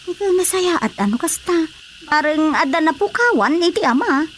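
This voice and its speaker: An actress plays a sympathetic village neighbour in a radio comedy. She is high-voiced and exclamatory, full of questions, over faint background noise. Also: lively intonation, normal speed. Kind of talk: radio drama comedy acting.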